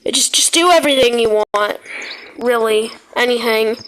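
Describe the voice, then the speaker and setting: A woman speaking in an amateur, haltingly delivered way, often repeating words, as a homemade video narrator. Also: fairly high pitch, a bit bright. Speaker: video creator narrating a made-up emergency alert scenario. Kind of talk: amateur emergency alert read-out mixed with casual gameplay chat. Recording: clearly noisy home recording with clicks.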